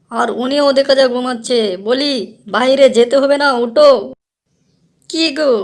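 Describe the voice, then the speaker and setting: A woman voicing a worried wife who scolds her husband to get up. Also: sits high. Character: fretting wife of the fox.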